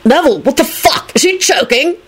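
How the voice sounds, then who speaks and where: A woman's voice: expressive, brisk. A woman voicing a whiny, melodramatic young man who splutters and stammers in sarcastic panic, as in an audiobook. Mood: indignant whining. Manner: spluttering, stammering, high-pitched squeaks.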